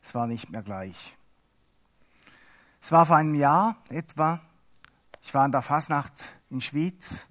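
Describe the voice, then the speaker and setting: A middle-aged Swiss man whose first language is Swiss German, giving a chatty, halting lay sermon with self-mocking humor. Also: fairly high pitch, animated, fairly bright tone. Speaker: lay preacher.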